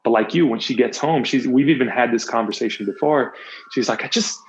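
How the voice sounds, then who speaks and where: A man's voice: medium pitch, brisk, slight background noise. A man in his thirties chatting openly and casually as a podcast guest, rambling and repeating phrases for emphasis. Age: 30s. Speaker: interview guest; motivational coach, podcast host, former athlete. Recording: muffled, dull lo-fi audio.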